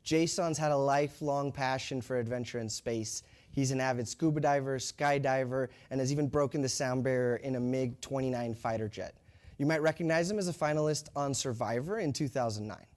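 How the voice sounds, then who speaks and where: A man co-hosting a live launch broadcast, reading crew introductions with steady, polished delivery. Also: mid-range voice, a little fast. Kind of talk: scripted live broadcast commentary.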